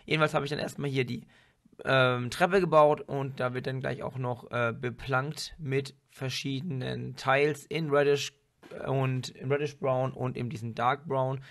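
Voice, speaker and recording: A man with a hoarse voice from a cold, hosting his building vlog in a casual, chatty way and correcting himself as he goes. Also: mid-range voice, steady tone, a little slow, fairly bright tone. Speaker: vlog host and model builder. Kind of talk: casual chat and building voice-over.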